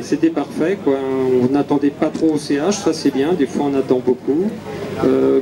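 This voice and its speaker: A man who rides in the race thanks the organizers outdoors, relaxed and hesitant, with many fillers. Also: fairly high pitch, rough recording. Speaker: race rider. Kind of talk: casual thanks speech. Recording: crowd noise around.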